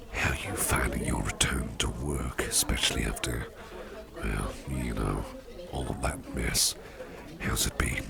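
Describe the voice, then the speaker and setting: A man playing a kindly, protective businessman boss in an ASMR roleplay, speaking in a gentle, reassuring, gravelly murmur. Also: exaggerated voice. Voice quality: very deep, gravelly, breathy murmur. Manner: gentle, reassuring, softly spoken. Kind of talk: ASMR personal attention roleplay. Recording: clear background noise and ambience.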